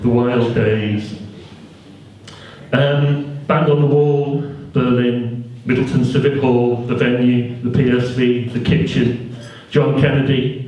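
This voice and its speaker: A middle-aged man hosting an event, introducing a guest to the audience in an informal, measured, appreciative way with hesitations. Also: medium pitch, darkish tone, slight background noise. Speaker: event host and interviewer. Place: indoor event hall, on stage. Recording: muffled, very echoey hall, distant microphone.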